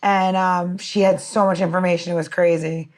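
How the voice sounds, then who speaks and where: A woman's voice: lowish voice, flat delivery, normal speed, darkish tone. A woman co-hosting a podcast in casual chat, with an informal, effusive and rambling way of talking. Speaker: podcast co-host.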